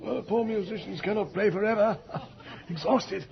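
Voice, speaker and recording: An actor playing a dignified, gallant colonel, formal and halting, in a radio horror drama. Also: male voice, high-pitched, creaky. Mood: tender, urging. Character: gallant, dignified army colonel, nervously courtly. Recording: dull 1980s radio recording with music.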